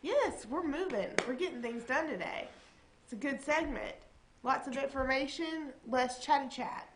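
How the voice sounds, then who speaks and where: A woman co-hosting a morning show with a slightly high voice, stuffy with a cold. She chats easily and fills gaps with "um" and "like." The sound carries a clear echo from the room. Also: moderate pace, slight background noise. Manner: chatty, uses many fillers. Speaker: co-host. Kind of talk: casual morning show chat.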